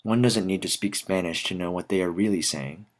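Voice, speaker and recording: Low-voiced young American man telling a story. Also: brisk. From Dallas, Texas, United States. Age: young adult. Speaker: student art teacher telling a personal story. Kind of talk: rehearsed spoken personal narrative.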